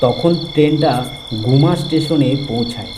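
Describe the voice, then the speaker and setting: A man's voice: on the high side, normal speed, noisy background, close to an everyday voice. A man narrating a first-person ghost story as a young vlogger, in a scripted storytelling style. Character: young male vlogger telling his ghostly tale. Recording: night insect ambience over voice.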